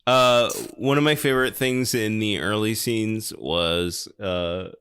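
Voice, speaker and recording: Male podcast host, lowish register, unhurried and deliberate, dryly understated casual movie chat. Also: steady tone, fairly bright tone, clear, resonant tone. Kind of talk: casual film discussion.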